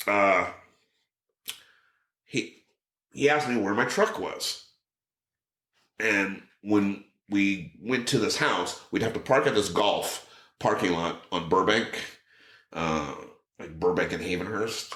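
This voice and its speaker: A man's voice: slow pace, long pauses. A middle-aged man telling a story in casual chat, acting out quotes with a raised voice. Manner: animated, acts out quotes with raised pitch. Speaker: podcast guest. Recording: roomy echo.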